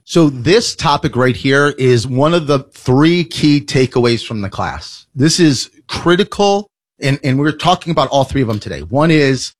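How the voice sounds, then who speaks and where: A man hosting a financial advice radio show, talking in a blunt, emphatic way and rattling off lists. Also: medium pitch. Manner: emphatic, blunt, rapid listing. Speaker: financial advisor radio host.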